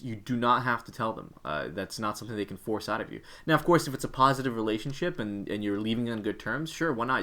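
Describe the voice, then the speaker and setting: A man's voice: lowish voice, a bit bright. A man co-hosting an instructional video, giving informal advice straight to the viewers as a career coach.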